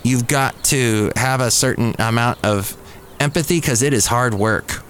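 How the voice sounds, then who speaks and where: Man, middle-aged, podcast host, casual digressive storytelling, self-correcting, mid-pitched voice, faint café background murmur. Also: measured pace, a bit bright, a little hiss or hum. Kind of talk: casual rambling monologue. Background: faint café ambience.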